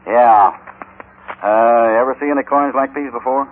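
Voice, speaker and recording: An actor playing a commanding, calm, shrewd American cowboy hero in an old radio Western, speaking firmly. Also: male voice, mid-range voice, unhurried, natural voice. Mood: calm, probing. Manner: firm, decisive. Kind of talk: 1950s radio Western acting. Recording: tinny, noisy old radio recording.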